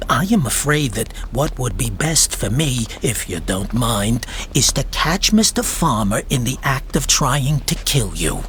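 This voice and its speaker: An actor in a radio drama playing a sly, talkative private insurance investigator with a wordy, mock-polite manner. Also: male voice, medium pitch, fairly bright tone, a little hiss or hum. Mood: mock-polite, calculating.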